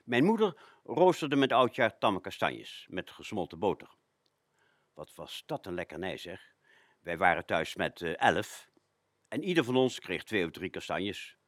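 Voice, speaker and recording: A man's voice: low voice, very animated, measured pace, no room sound, clean studio sound, exaggerated voice. An actor playing a nostalgic older man recalling a frugal childhood, musing expressively and colloquially in a radio play. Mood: fond nostalgia.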